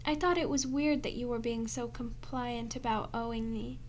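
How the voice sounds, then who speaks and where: A woman acting a starving, captive vampire in an ASMR roleplay, proud and flirtatious, with teasing sarcasm that often drops into breathy murmurs. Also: on the high side, steady tone, normal speed, a little hiss or hum, lightly acted. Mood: sardonic, bitter.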